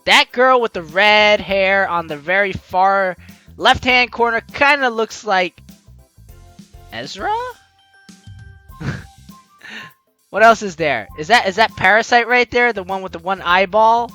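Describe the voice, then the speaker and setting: An excitable, playful let's play host who stammers and interrupts their own reading of game text. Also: mid-range voice, a little slow, pauses often, muffled recording, faint music behind. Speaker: gaming video host.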